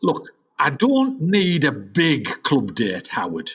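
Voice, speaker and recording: High-voiced blustering aging jazz father played by a man. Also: wildly swooping pitch, slow, fairly bright tone, slightly rough, full character voice. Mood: nervous, pleading. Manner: boastful, old-time hipster slang. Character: blustering aging jazzman father, hopeful then pleading. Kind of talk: comedic radio play acting.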